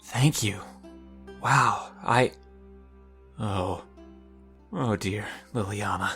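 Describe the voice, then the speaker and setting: Man acting slow, halting young telepath mage. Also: lowish voice, pushed and bright, faint music behind, exaggerated voice. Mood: dazed, sheepish. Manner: halting, fragmented speech. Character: young male telepath mage, dazed, pleading, sheepish. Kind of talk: audio drama acting.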